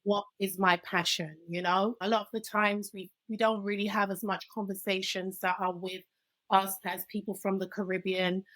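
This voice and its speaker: An energetic woman with a British accent talks as a podcast guest, quick to laugh. Her voice sits in a middle register and is recorded dry and close, with no room sound. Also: medium pitch, dry recording, low-quality recording. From London. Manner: energetic, chatty, laughs easily. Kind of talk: casual interview chat.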